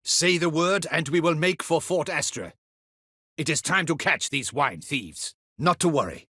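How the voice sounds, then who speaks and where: An actor playing a stern, proud, dutiful captain of a ducal guard in a fantasy video game, with a formal, clipped, haughty delivery and a husky voice. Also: male voice, fairly high pitch, lively intonation, unhurried, pushed and bright, dry recording, studio quality, heavily acted. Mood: brisk, commanding.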